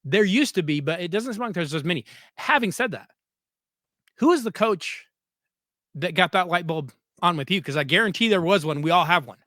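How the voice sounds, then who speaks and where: Male American interviewer. Fairly high register, dry recording, earnest, emphatic conversational delivery with repeated phrases. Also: animated, brisk, fairly bright tone, no room sound. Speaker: podcast host, former coach.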